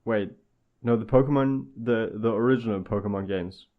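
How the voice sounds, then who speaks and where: A man's voice: fairly low pitch, normal speed. Man chatting on a lo-fi mic. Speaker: podcast co-host. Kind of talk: casual podcast chat.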